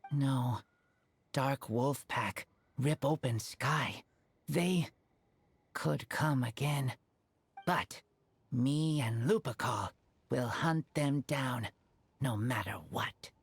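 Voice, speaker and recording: A woman voicing an earnest, loyal wolf-raised teenage boy in a video game, with husky, halting, simple speech. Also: a bass voice, no echo, exaggerated voice. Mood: determined.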